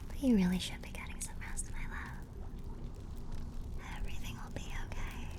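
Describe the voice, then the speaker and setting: A woman playing a clumsy, affectionate and slightly mad plague doctor girlfriend in a theatrical, breathy ASMR roleplay. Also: measured pace, long pauses, mellow, lightly acted. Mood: tender, soothing, unhinged. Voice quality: breathy, often whispered. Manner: theatrical, affectionate, quaint turns of phrase. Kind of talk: ASMR roleplay, scripted character acting.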